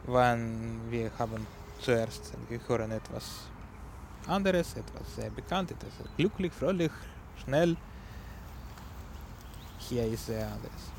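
A man with a Ukrainian accent hosts a community radio music show, speaking very slowly and pausing often to search for German words. His voice sits at an ordinary male pitch. Clear background noise runs under the recording. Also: a little mellow. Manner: halting, searching for German words, many fillers. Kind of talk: unscripted radio music presentation.

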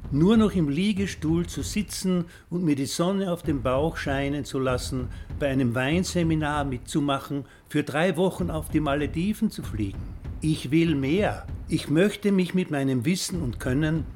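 Man, 73, retired, higher-pitched voice, delivering a personal statement with faint background noise. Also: a little mellow. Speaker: retired member of senior activist group. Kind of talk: prepared personal testimonial.

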